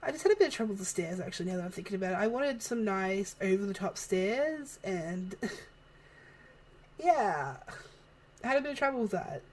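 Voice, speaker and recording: A woman, chatting softly and laughing often while narrating a game build, her voice a little worn. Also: mid-range voice, lively intonation, normal speed, low-quality recording. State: losing her voice. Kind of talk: casual voice-over chat. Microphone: home desk microphone, moved closer partway.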